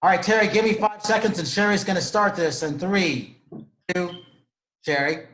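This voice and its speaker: A man's voice: sits high, normal speed, fairly bright tone. Easygoing male host, echoey room. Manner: directs the show, easygoing. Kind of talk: hosting a video call show. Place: home room. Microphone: video call.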